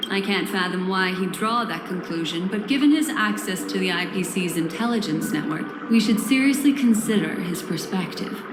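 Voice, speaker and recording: A woman voicing a composed, enigmatic female memory-keeper in a video game, speaking in formal, deliberate, protective phrases. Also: on the low side, animated, background noise, close to an everyday voice. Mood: measured, cautioning. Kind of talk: scripted video game character dialogue. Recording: roomy echo, loud background ambience.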